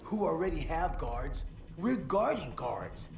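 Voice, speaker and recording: Brash young superhero, high lively voice, slight rasp, music behind. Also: male voice, slow, background noise, full character voice. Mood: cocky. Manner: cocky, complaining. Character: brash young male hero, cocky. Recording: score underneath.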